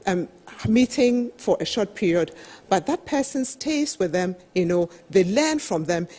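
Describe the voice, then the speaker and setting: A middle-aged woman speaks at a business panel in a medium-pitched, slightly husky voice. She explains her points emphatically, peppering them with "you know". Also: lively intonation, a little slow, dark tone. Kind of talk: business presentation at a televised roundtable. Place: television studio, seated at a desk.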